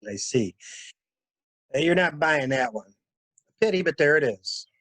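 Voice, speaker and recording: A man's voice: a little high, expressive, a little slow, fairly bright tone, no room sound. A man playing a shrewd, blunt stranger who questions people like a detective in a dramatic mystery reading. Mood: probing. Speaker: amateur reader, library staff.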